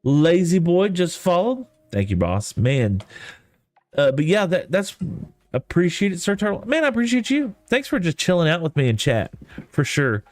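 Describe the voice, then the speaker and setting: A man's voice: sits high, big pitch swings, moderate pace, dry recording. Rambling Texan man chatting on a stream. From East Texas. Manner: rambling, self-deprecating, folksy. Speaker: livestreamer. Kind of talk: casual gaming stream chat. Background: soft lofi music.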